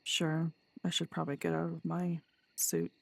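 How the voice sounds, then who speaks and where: A congested, nasal streamer with a cold, speaking in a gravelly, flat tone that barely rises or falls. The replies are short and understated, spoken close to a desk microphone. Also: mid-range voice, moderate pace, no echo. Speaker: roleplay streamer. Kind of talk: casual stream chat and in-character roleplay.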